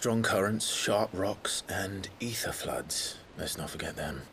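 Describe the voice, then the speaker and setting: Deep, brooding steadfast warrior. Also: male voice, unhurried, gravelly, slight background noise, heavily acted. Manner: terse, hushed, serious. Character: brooding, steadfast male fantasy warrior, protective companion. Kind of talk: dramatic video game voice acting.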